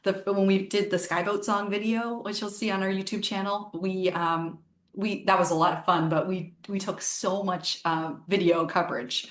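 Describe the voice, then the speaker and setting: A woman's voice: mid-range voice, little pitch movement, moderate pace, reverberant. A woman harpist and singer from the United States, answering interview questions in a chatty way, thinking aloud with many ums and restarts. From Northern Virginia, USA.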